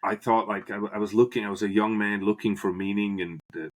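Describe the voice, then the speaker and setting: Middle-aged Swiss-born man, low-pitched, earnest podcast guest recounting his life story. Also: level tone, quick pace. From Switzerland. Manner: earnest, restarts phrases while searching for words. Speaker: guest, business professor. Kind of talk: podcast interview, reflective storytelling.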